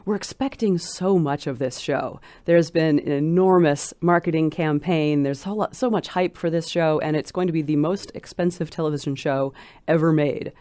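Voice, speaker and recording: A woman's voice: deep voice, warm tone, dry recording. Articulate woman scholar, close dry sound. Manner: articulate, measured, emphatic. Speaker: guest scholar of Tolkien. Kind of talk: interview commentary.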